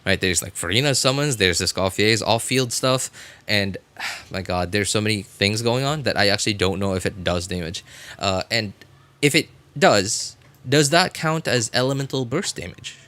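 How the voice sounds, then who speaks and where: Bright-voiced man chatting on a game stream. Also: lowish voice, animated, normal speed, no room sound. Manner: rambling, thinking aloud. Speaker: game livestreamer. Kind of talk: casual gaming stream chat with viewers.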